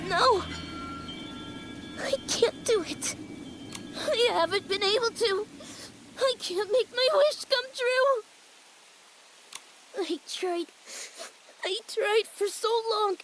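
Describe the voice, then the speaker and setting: A woman's voice: very high-pitched, slow pace, pauses often, a bit bright, a little hiss or hum, exaggerated voice, poor audio. A woman voicing a frightened, crying young male sprite in a video game, childlike and despairing. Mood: tearful despair. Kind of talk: video game cutscene acting.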